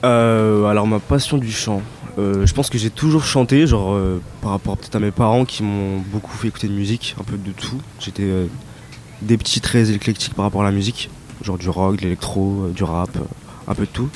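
A young man giving casual, hesitant interview answers with lots of fillers and relaxed slang. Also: fairly low pitch, brisk, slight background noise. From Italian descent, raised in France. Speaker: rapper interviewed by students.

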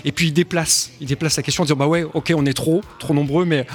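A man's voice: on the high side, steady tone, fast. A man who is an author, a guest on a radio interview, explaining at length and often stumbling over repeated words.

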